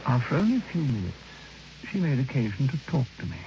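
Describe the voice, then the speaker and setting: An actor playing a troubled, reluctant lodger who tells his ghost story in a measured, sometimes hesitant way, in old radio drama style. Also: mid-range voice, sing-song. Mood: grave, measured. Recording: muffled, noisy old radio recording.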